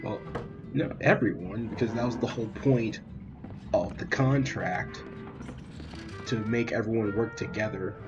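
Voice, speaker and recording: A man's voice: on the low side, a little slow, pauses often. A man giving casual, conversational reactions and commentary as he plays a video game. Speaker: gaming video creator. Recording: dull microphone under loud game music. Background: loud game music.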